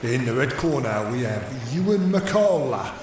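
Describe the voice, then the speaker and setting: Echoey mock boxing announcer. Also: male voice, mid-range voice, sing-song, unhurried. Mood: mock-grand. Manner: mock-grand. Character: mock boxing ring announcer, sardonic showman. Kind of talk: audio drama acting. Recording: echoey, distant, very noisy.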